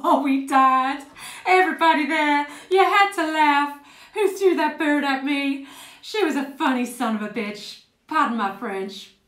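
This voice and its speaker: A woman's voice: high voice, measured pace, fairly bright tone. A woman playing a blunt, chatty older working-class woman reminiscing fondly in a dramatic monologue. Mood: laughing, fond. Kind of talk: dramatic stage monologue, conversational storytelling. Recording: roomy, echoing space.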